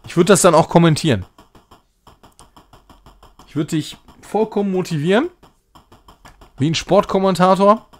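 A man's voice: high-pitched, normal speed, long pauses. A man hosting a game stream, chatting casually and rambling as he thinks aloud while he plays. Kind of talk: casual live gaming commentary with chat.

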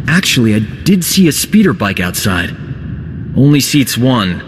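A man's voice: medium pitch, big pitch swings, a little slow, background noise. An actor playing a wry, roguish male field doctor with a glib, wisecracking manner in a video game cutscene. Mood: glib, cheeky. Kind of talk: dramatic game cutscene acting. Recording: game music behind the voice.

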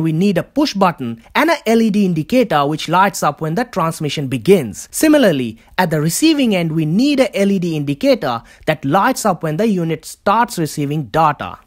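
A narrator presenting a scripted DIY tutorial as the host. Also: mid-range voice.

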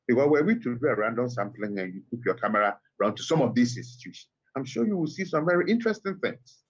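A middle-aged Ghanaian man speaks over a phone line to a TV studio. His voice is husky and sits at a middle pitch, and he builds his points slowly and firmly, pressing them with rhetorical questions. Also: sing-song. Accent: Ghanaian English. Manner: measured, emphatic rhetorical questions. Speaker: academic guest on phone. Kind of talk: TV interview commentary.

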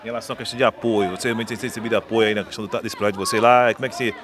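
Man in his 30s, radio reporter, rattles along very fast, tag questions. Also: fairly low pitch, fairly even intonation, a crowd in the background. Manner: rambling, leans on tag questions. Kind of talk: outdoor interview. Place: stadium stands outdoors. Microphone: handheld mic.

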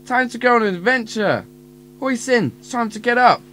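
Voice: mid-range voice, animated, moderate pace, bright, forceful tone. An actor playing a clever, cautious five-year-old child in a radio drama, speaking earnestly. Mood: eager.

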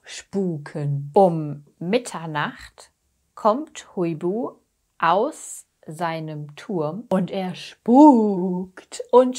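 Very slow-speaking young woman teaching. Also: medium pitch, warm tone. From Germany. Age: young adult. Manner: deliberate, simple phrasing with pauses and emphasis on key words. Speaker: German teacher. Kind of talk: slow simplified storytelling lesson for language learners. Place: small attic room indoors. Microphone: lapel mic.

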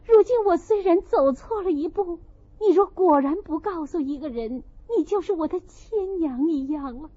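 A distraught, ailing young maidservant played by a woman narrator speaks in a high voice with big swings of pitch. She sounds emotional and grateful, as in a storybook reading. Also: expressive, normal speed, mellow, lo-fi sound. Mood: pleading, grateful.

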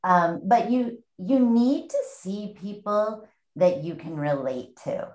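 A woman in her forties, a sales executive speaking in a measured way on a webinar panel over a video call. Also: medium pitch, lively intonation, noisy background. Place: home room, indoors. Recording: echoey room on a video call.

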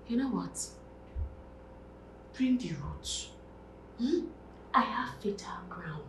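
A woman's voice: mid-range voice, vocal fry, heavily acted. A Nigerian woman playing a brash, vain, money-hungry young woman in a comic monologue, with sassy, exclamatory delivery. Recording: echoey room, background noise.

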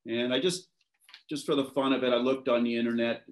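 Male, 50s, American, mid-pitched; relaxed storytelling as a guest on a video-call interview. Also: fairly quick. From born in France to American parents, raised between Europe, Washington and West Africa. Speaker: interview guest, maritime professional. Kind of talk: casual reminiscing in a video conversation. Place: wood-beamed room at home, indoors.

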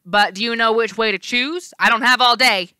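A woman's voice: mid-range voice, expressive, a little fast, bright, forceful tone, no room sound, studio quality. A woman reading aloud, voicing a two-faced male god of doorways who mocks and bickers with himself. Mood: taunting. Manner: teasing, sneering, squabbling with himself.

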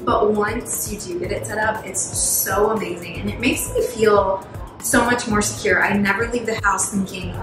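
A woman's voice: mid-range voice, steady tone, normal speed. Upbeat, chatty woman in a roomy house. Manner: bright, upbeat and chatty, laughs often. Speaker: homeowner giving a testimonial. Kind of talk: casual interview chat. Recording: roomy sound with faint music.

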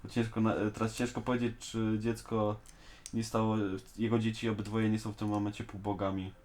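A man's voice: lowish voice, completely flat delivery, brisk, darkish tone. A man in a casual fan discussion, speaking colloquially with some slang. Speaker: host of a fan discussion show. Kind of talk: casual chat reviewing an animated series.

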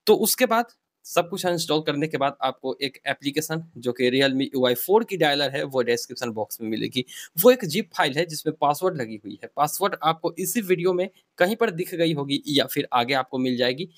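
A man's voice: a little high, no echo, studio quality. A man presenting an informal step-by-step tech tutorial, explaining things directly to viewers.

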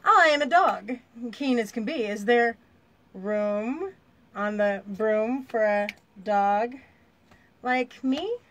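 A woman's voice: fairly high pitch, lively intonation, slow, fairly bright tone. Woman as an eager, polite storybook dog. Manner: keen, sing-song rhyming request.

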